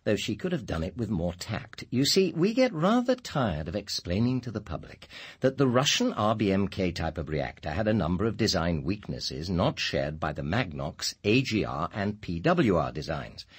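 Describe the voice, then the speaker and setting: Deep-voiced, guarded English scientist. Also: male voice, big pitch swings, husky, heavily acted. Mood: firm, reasoned. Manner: formal, measured, articulate. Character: smooth, guarded male senior scientist, director of a power station. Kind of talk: British radio drama acting.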